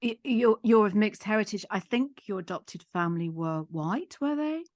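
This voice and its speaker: A middle-aged woman hosting a podcast interview in a measured, reflective way, feeling out her phrasing as she goes. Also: mid-range voice, animated, a little mellow, no room sound. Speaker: podcast host and psychotherapist. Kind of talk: gentle interview.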